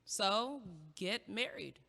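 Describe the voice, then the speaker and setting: A woman's voice: fairly high pitch, drawn-out words, gravelly, full character voice. A young actress playing a blunt, impatient student who teases and bosses her neighbor around in an audio play. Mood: bossy. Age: young adult. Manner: teasing, bossy, lively. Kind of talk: stage audio play acting. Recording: long hall reverb.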